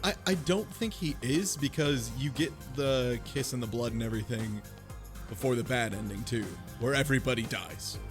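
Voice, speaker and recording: A man's voice: medium pitch, normal speed, over music. Man, casual chat, blunt, profane, sarcastic. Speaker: podcast co-host. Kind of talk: casual chat recapping a visual novel.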